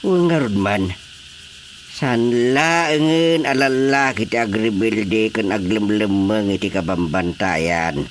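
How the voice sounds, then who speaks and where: A man's voice: lowish voice, takes it slowly. A man playing a soldier in conversation in a radio drama, with faint noise behind him. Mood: earnest, impressed.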